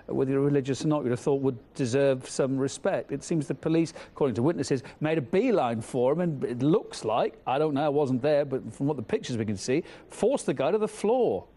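Mellow-voiced male news anchor. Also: medium pitch, a little fast, hardly any pauses. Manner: conversational, questioning. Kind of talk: live news interview.

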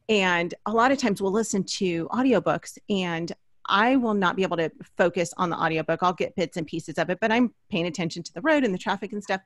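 A woman's voice: fairly low pitch, no room sound. A middle-aged woman hosting an interview in a chatty, storytelling way, recorded close on a studio microphone. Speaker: podcast host. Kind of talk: conversational interview introduction. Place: small indoor home studio. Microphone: large studio microphone up close.

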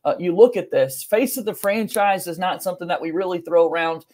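A man's voice: high voice, brisk, darkish tone. A man in his thirties hosting a sports podcast, setting up questions and segments. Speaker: podcast host. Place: home room indoors, video call.

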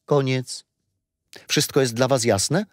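An actor playing a kindly, patient grandfather telling stories in a children's radio play, unhurried and expressive. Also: mid-range voice, no echo, studio quality, close to an everyday voice. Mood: storytelling. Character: kindly grandfather storyteller, patient, teasing, wise.

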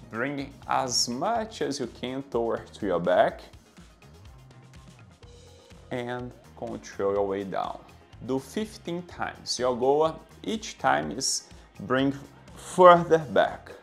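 A man in his thirties with a Brazilian accent teaches posture exercises to camera, his English marked by non-native grammar. He talks in a mid-pitched, steady coaching voice and counts down each hold. A lapel mic in a bright room picks him up close, with soft music faintly behind. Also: gravelly. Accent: Brazilian Portuguese. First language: Portuguese. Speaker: fitness trainer. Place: bright indoor room with anatomy posters. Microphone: clip-on lapel mic.

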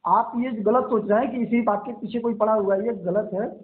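A man's voice: high-pitched, fairly quick. A man arguing on a phone call, persistent and disagreeing while staying deferential. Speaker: caller criticising a singer. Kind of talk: argumentative phone conversation. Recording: muffled, tinny recorded phone call.

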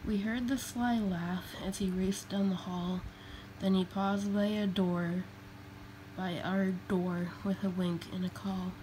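A woman reading a children's picture book aloud in a deliberate way, phrase by phrase. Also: medium pitch, fairly even intonation, warm tone, poor audio. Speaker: storybook reader. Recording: faint background noise, home recording.

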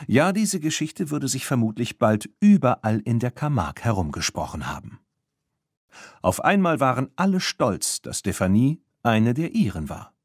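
A man narrating a children's audiobook, reading the scripted story in an expressive storytelling manner. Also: medium pitch, moderate pace, husky, dry recording, studio quality. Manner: expressive storytelling with voiced dialogue.

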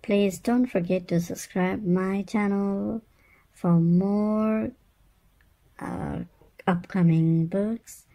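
A woman's voice: mid-range voice, steady tone, drawn-out words, mellow, rough recording. A woman reading a picture book aloud to babies and toddlers in a playful sing-song way, repeating words. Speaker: children's read-aloud channel host.

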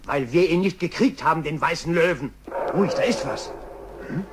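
Husky, cocky lion trapper. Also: male voice, fairly high pitch, moderate pace. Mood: scheming. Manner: boastful, sly. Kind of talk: German children's audio drama.

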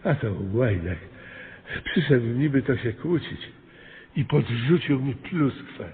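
An actor playing a flustered small-town mayor in a radio play, stammering, whining and indignantly justifying himself. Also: male voice, mid-range voice, lively intonation, slow pace, slightly rough, narrow, tinny audio, exaggerated voice. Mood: outraged. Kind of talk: radio drama acting. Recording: thin band-limited radio sound with long reverb.

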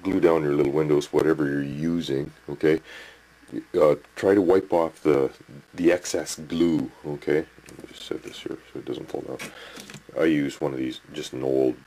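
A man's voice: a bass voice, fairly even intonation, normal speed, warm tone, rough recording. A man, rambling through a casual home workshop tip and checking in with the viewer, recorded on a handheld camcorder. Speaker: hobby model builder. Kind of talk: casual home video tutorial. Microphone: camcorder mic, handheld.

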